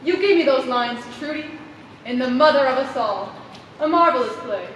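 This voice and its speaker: A woman's voice: high voice, expressive, moderate pace, a bit bright. Actress as a grandiose literary lady, echoey theater. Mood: grand. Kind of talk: theatrical stage acting. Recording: echoey theater hall, far mic, faint noise.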